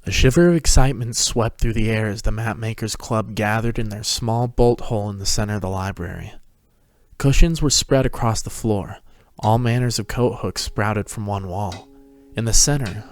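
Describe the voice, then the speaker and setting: A man's voice: on the low side, normal speed, no echo. A man narrating a story as a dramatic scripted reading. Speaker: narrator, fan fiction reader.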